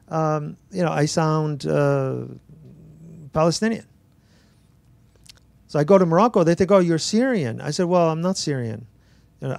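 An older man, an author at a bookstore talk, telling stories to an audience on a handheld mic, animated and acting out dialogue with frequent restarts. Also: a little high, creaky, dry recording. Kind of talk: relaxed storytelling to an audience. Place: bookstore event space.

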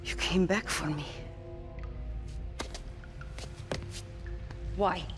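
A woman's voice: fairly low pitch, normal speed, music in the background, natural voice. Woman voicing a curt Eastern European mercenary leader. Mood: guarded. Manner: curt, formal, deadpan. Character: stern, guarded female mercenary leader, no-nonsense. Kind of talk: video game voice acting.

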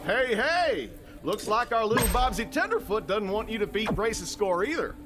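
A man's voice: sits high, sing-song, normal speed, very noisy. A man playing a folksy, exuberant bereaved father, hosting a party in a video game. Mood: announcing. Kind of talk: video game character acting.

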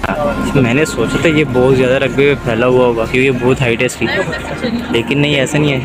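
A man's voice: mid-range voice, fast talker, over loud music, poor audio. Young man vlogging on a phone. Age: young adult. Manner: chatty, excited, talks to viewers as he walks. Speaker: travel vlogger. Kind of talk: casual walking tour vlog. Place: outdoors in a busy city plaza and mall. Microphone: handheld phone at arm's length.

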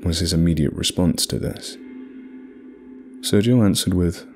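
A man narrating a horror story in a measured, scripted storytelling delivery. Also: low-pitched, darkish tone, soft background music.

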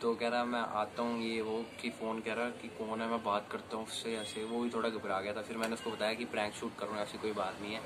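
A man's voice: fairly low pitch, little pitch movement, quick pace, few pauses. A young man chatting casually as a vlogger, recorded outdoors on a camera mic. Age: young adult. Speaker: vlogger making prank calls. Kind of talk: casual chat. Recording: noisy amateur outdoor recording.

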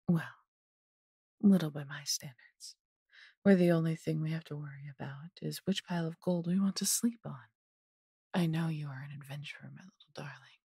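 A woman voicing a possessive, haughty yet doting female dragon in an intimate audio roleplay, condescending and theatrical, breathy and husky, often dropping to a whisper. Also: low-pitched, mellow, no room sound, studio quality, full character voice. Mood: coaxing, possessive. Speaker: voice actor.

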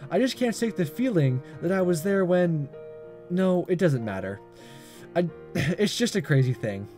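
A man reading a visual novel aloud as a gaming host, expressive but amateur, stumbling and rereading lines. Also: high voice, unhurried, faint music behind.

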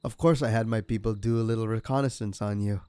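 A man playing a sadistic crime boss in an audio roleplay, taunting a captive with slow, mocking menace. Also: fairly low pitch, fairly even intonation, mellow, smooth, no room sound, natural voice. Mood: smug taunting. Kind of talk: ASMR audio roleplay acting.

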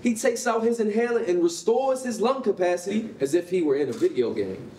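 High-pitched, lively American male narrator. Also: brisk. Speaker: narrator at a staged reading. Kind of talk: scripted narration of stage directions. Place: concrete-walled rehearsal hall. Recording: roomy, distant mic, faint noise.

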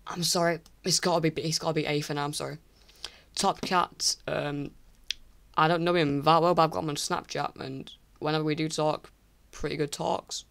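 A teenage boy from England ranking online creators in casual screen-recorded commentary, rambling and apologetic with many restarts, his voice croaky from recording late at night. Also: fairly high pitch, little pitch movement, moderate pace, a bit bright. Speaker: YouTuber. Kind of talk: casual tier list commentary.

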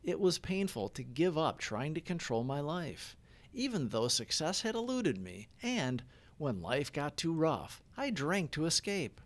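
A man's voice: fairly high pitch, sing-song, unhurried. A man hosting a recovery channel, reading prayers and daily reflections as scripted devotional narration.

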